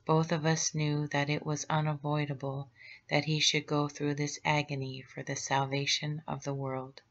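A woman speaking as a gentle, grieving mother of Jesus in a tender, slow and solemn devotional meditation. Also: low-pitched, level tone, highs cut off. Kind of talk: devotional meditation read aloud.

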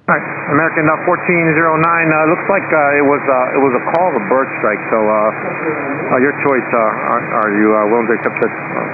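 A man working as an air traffic controller, speaking in halting phrases over a radio. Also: medium pitch. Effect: radio transmission. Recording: noisy, tinny tower radio.

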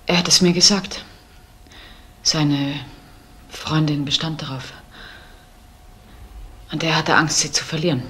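A husky older woman in a radio crime drama. She confesses haltingly, subdued and distressed, with a rough edge to her voice. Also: low-pitched, fairly even intonation, a bit bright, heavily acted. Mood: subdued, anguished.

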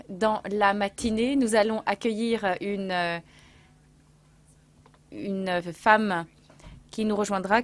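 A woman with an Australian accent opens a conference panel as its host. She speaks slowly and carefully, pausing often. She holds a handheld microphone on a stage in a large hall, and her voice sits at a middle pitch. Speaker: panel host and news presenter.

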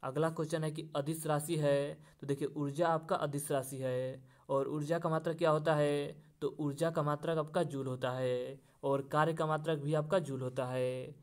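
A man's voice: on the high side, flat delivery, normal speed, few pauses. A man teaching an exam-prep lesson, reading out questions and then giving the answers in a formulaic, repetitive way. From India.

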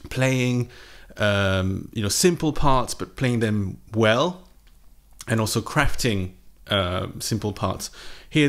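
A man in his thirties chatting casually and unscripted, like a music vlogger talking to camera from his home studio. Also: on the low side. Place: small home studio room indoors. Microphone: microphone on a boom arm, close.

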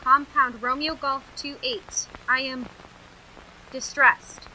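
An actress playing a frightened woman locked in alone, speaking in an anxious, halting way in a radio drama. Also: sits high, steady tone, sharp tone, a little hiss or hum, full character voice, poor audio. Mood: pleading.

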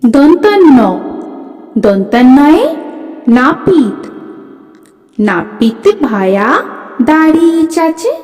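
A woman reciting children's alphabet rhymes in a sing-song way, with drawn-out phrases and a pause after each letter. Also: sits high, sing-song, darkish tone. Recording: long reverb, music underneath.

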